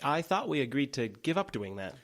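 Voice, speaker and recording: A man's voice: mid-range voice, very animated, fairly quick, a bit bright. An actor playing an easygoing young man with a dry, wry way of talking.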